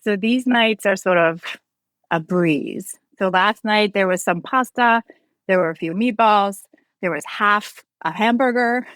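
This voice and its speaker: A candid woman from North Iceland, native Icelandic speaker, chats as a guest. She sounds reflective and in her forties, and her voice is dry and close to the mic with a middling pitch. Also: a little slow, a bit bright, no echo. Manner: candid, reflective, trailing restarts. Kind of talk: casual interview.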